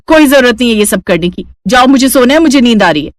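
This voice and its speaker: A haughty young bride from a rich house, acted by a woman storyteller. Her high voice snaps with scorn and rudeness, as in a cartoon family drama. The sound is close and dry. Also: lively intonation, quick pace, dry recording, close to an everyday voice. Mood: snappy. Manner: snapping, scornful.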